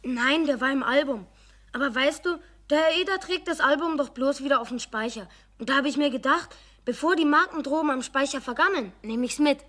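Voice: high-pitched, brisk, bright, forceful tone. A performer playing an indignant young schoolboy with a Bavarian accent in a children's radio play. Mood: proud, secretive.

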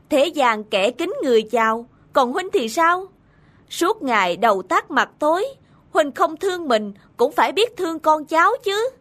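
A woman's voice: high voice, fairly bright tone, full character voice. A woman playing a vain, pushy middle-aged male official in a cartoon folktale, insistent and boastful. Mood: incredulous, boastful.

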